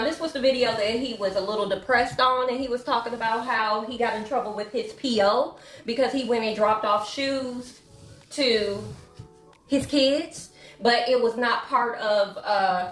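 A woman's voice: on the high side, a bit bright, a little hiss or hum. A woman, chatting to her viewers while she cleans at home, easygoing and gossipy, calling them y'all. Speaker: vlogger. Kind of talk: casual chat while cleaning. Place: home living room with hardwood floor. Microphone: camera set up across the room. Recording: echoey room.

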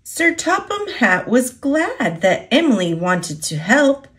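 An American woman reading children's storybooks aloud in an expressive, deliberate storytelling manner. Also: high voice. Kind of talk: scripted read-aloud narration.

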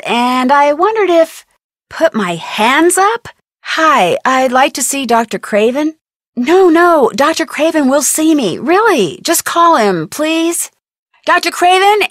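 An inquisitive young female sleuth from an adventure video game, with an American accent. Her voice sits fairly high and is recorded dry and close. She is polite but persistent, with earnest, expressive delivery. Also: dry recording, exaggerated voice. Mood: pleading. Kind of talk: scripted adventure game dialogue.

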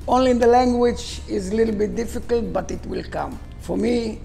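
Gravelly high-voiced older man, halting English. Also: dark tone, soft background music. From Israel. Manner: halting non-native English, broken grammar. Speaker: chef. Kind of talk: casual talk to camera. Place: restaurant dining room, indoors.

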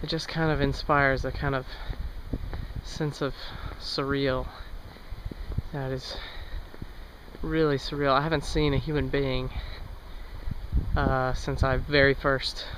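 A man's voice: mid-range voice, level tone, slow, long pauses, fairly bright tone, rough recording. Chatty young man vlogging outdoors. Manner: chatty, musing, wry asides. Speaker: travel vlogger. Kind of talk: casual first-person travel narration. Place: outdoors on an overgrown trail and railway line. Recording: outdoor camera audio with clear ambient noise.

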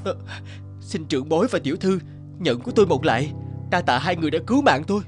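Man acting a high-voiced, theatrical young mandarin. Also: fast, faint music behind, natural voice. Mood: grateful. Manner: theatrical, expressive. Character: arrogant young male mandarin, later humbled and contrite. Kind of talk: animated folk-tale dubbing.

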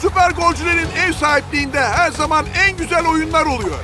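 A man playing a wry, excitable football commentator in a cartoon dub. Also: very high voice, fairly quick. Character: wry, excitable male match commentator sidekick. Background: music.

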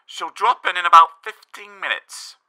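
Man playing a brisk, bright-voiced agency clerk. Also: high voice, pitched above the performer's own voice. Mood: businesslike.

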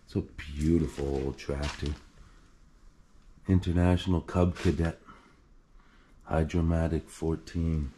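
A man filming himself as he explores a flooded basement in an abandoned house, talking in a low, hushed voice while crouching and climbing over clutter. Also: steady tone, slow, long pauses, slight background noise. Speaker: urban explorer filming a tour. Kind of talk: hushed exploration vlog narration.

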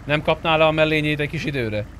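A man's voice: on the high side, fairly bright tone, slight background noise. A man playing an online roleplay game, talking in an informal, pushy way. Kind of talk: in-character gameplay chat.

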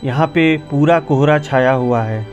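A man's voice: mid-range voice, a little slow, soft background music. A man narrating a casual travel vlog outdoors on a windy mountain pass, giving viewers practical tips in a chatty way. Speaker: travel vlogger.

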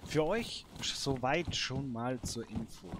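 A man commentates a video game at an unhurried, slow pace. His mid-pitched voice is colloquial and casual, as if chatting to himself and the characters. Clear noise and clicks sit behind the voice. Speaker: gamer and host of a let's play series. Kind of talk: casual let's play commentary. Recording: noisy home recording with clicks.

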